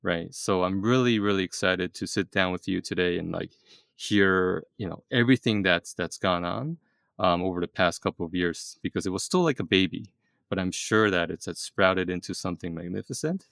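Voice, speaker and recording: A man hosting a casual interview, excitable and full of fillers and restarts. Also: deep voice, normal speed, creaky, dry recording, studio quality. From East Asia. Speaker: podcast host.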